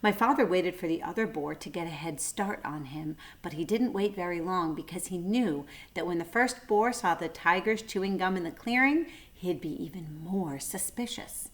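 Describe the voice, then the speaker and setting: A woman's voice: on the low side, normal speed. Woman reading a children's story aloud. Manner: brief asides to young listeners.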